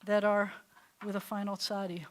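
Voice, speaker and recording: A woman rabbi teaching a beginners' Hebrew class in a loose, chatty way, often restarting her sentences and sounding words out slowly. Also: medium pitch, flat delivery. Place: classroom or congregation hall.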